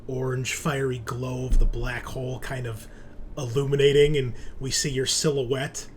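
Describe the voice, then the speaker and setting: A man's voice: medium pitch, level tone, moderate pace, slight background noise. A man narrating a tabletop horror game as game master in a casual, conversational way.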